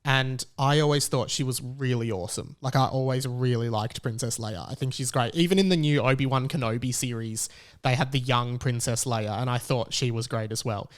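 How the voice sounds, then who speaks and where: An Australian man hosting a podcast, telling a personal story in a casual, chatty and animated way. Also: medium pitch, moderate pace. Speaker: podcast host.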